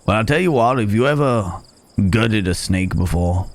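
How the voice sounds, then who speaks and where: A man's voice: on the low side, expressive, normal speed. A man playing a wry, caring cowboy stranger in an ASMR Wild West roleplay, talking in folksy, rambling asides, with crickets behind him. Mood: easygoing, reassuring. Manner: folksy, rambling, murmured asides to horse and companion.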